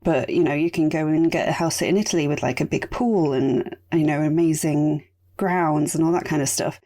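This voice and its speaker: A woman hosting a casual solo podcast, chatty and rambling, her voice going raspy. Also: low voice, normal speed, warm tone. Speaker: podcast host.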